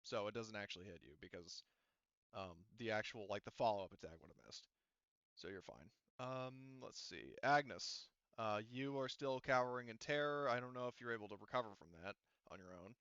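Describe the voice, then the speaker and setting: Man, game master, bright forceful voice, dry close sound, slightly dull audio, halting casual narration. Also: lowish voice, lively intonation, clean tone, no room sound. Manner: halting, thinking aloud. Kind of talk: narrating a tabletop roleplaying game, casual chat.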